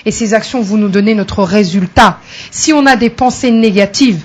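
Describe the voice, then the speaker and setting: A woman's voice: medium pitch, moderate pace. A woman who works as a coach, speaking as a guest on a radio talk show in an emphatic, motivational way. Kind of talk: radio interview.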